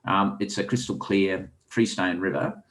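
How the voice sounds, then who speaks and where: A man's voice: sits low, steady tone, measured pace, a bit bright, low-quality recording. A man with an Australian accent, a podcast guest telling long rambling fishing stories with frequent ums. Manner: rambling anecdotal, many ums. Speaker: guest angler and author. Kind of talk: casual storytelling interview.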